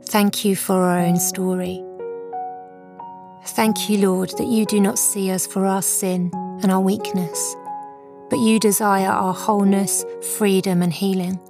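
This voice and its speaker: Woman, medium pitch, devotional presenter, earnest and reverent, measured scripted delivery, prominent background music. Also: flat delivery, vocal fry. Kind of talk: scripted devotional commentary and prayer.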